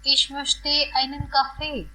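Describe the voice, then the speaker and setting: A woman language teacher reading short phrases aloud slowly and clearly, saying each one twice for learners to repeat. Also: high voice, completely flat delivery, sharp tone, rough recording.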